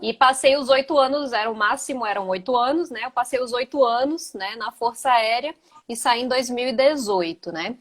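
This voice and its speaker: A woman's voice: a little high, normal speed. A woman in her thirties with a Gaúcho Portuguese accent, talking casually on a video call as a physical education teacher, tagging her sentences with "né". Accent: Gaúcho (southern Brazilian) Portuguese. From Northeast Brazil. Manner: conversational, many "né" tags. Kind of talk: casual live chat.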